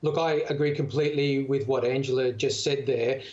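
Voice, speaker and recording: A man's voice: mid-range voice, steady tone, moderate pace, a little mellow, lo-fi sound. A man with an Australian accent speaking conversationally as a medical expert in an unscripted interview. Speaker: doctor, medical association spokesman.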